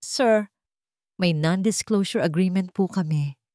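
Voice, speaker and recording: A woman's voice: lowish voice, unhurried, mellow, no echo, studio quality, close to an everyday voice. A woman voicing a polite male private investigator in an audio drama, earnest and uneasy with guilt. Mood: polite, guarded. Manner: deferential, earnest.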